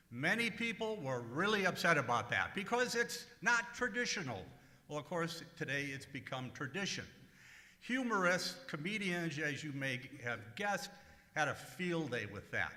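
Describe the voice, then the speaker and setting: Bright-voiced man emceeing in an echoey hall. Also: mid-range voice. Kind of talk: stage introduction to a live audience. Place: large public room, live event. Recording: echoey hall live stage recording.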